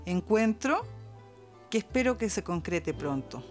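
Expressive Chilean woman narrator, swooping intonation. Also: mid-range voice, a little slow. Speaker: podcast narrator. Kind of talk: scripted narration, expressive storytelling. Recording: music behind the voice. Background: music.

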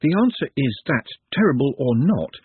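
A man voicing a gentle, earnest, troubled young-hearted orc with formal, hesitant speech in an audiobook. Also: mid-range voice, very animated, normal speed, husky, no room sound, phone-quality sound, pitched above the performer's own voice. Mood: earnest searching.

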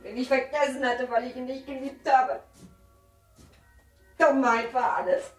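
An actress playing a distraught young wife and mother in a German radio play, bitterly defiant and emotionally raw, halting as she speaks. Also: high voice, steady tone, echoey room, recorded from a distance, faint music behind. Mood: anguished, bitter. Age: young adult.

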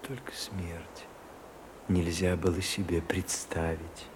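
An actor playing a languid, melancholy and witty male aesthete with drawn-out, dramatic phrasing in a radio play. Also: deep voice, flat delivery, noisy background. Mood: hushed, melancholy. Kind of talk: theatrical radio drama acting.

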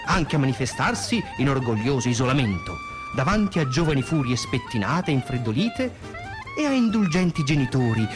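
A man narrates a scripted theatrical piece in a mid-pitched voice. His intonation is lively and varied, with a declamatory, literary turn to the phrasing. Music plays softly behind him. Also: normal speed. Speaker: radio theatre narrator and reader.

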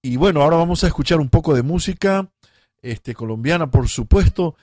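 A man hosting a radio talk show speaks in a measured, formal and appreciative way. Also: a little high, lively intonation, darkish tone, no room sound, clean studio sound. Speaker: host.

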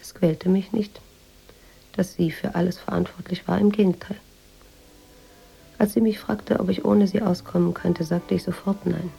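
A wistful woman writer in a radio drama. Her voice is low and very creaky, with a clean, dark-free edge. She speaks in a measured, reflective way. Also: fairly even intonation, full character voice, rough recording. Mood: reflective, melancholy. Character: wistful, introspective American woman writer living in Morocco. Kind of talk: German radio drama, intimate narration and dialogue.

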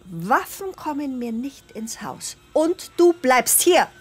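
A woman's voice: sits high, sing-song, slow pace, husky, faint music behind, full character voice. An actress in a radio drama playing a stern, frantic, overprotective mother.

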